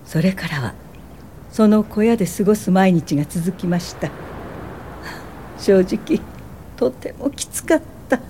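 An actress playing an earnest, caring woman social worker in a radio drama, speaking softly and politely as she acts and narrates. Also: mid-range voice, expressive, measured pace, pauses often, a little hiss or hum, close to an everyday voice. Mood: reflective, serious. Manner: soft-spoken, caring, polite.

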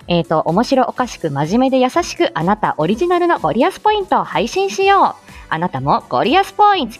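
A woman hosting a live audio show, chatting casually and politely through morning announcements. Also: medium pitch.

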